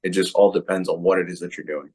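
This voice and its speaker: Male co-host, very low voice, loose conversational delivery. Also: flat delivery, fast, darkish tone, slightly rough. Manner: conversational, rambling, tag questions. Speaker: podcast co-host. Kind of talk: casual podcast chat.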